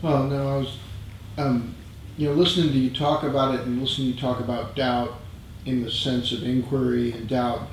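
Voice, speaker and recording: A man's voice: medium pitch, level tone, brisk. Distant man in very echoey room. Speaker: group member commenting. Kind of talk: informal discussion. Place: meditation group meeting room. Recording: very echoey room, distant mic, faint noise.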